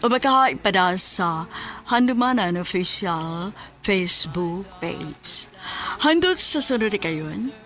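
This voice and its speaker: A woman's voice: medium pitch, animated, takes it slowly, bright, forceful tone. A woman with a Cebuano Filipino accent hosting and narrating a radio drama from a script. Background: faint music.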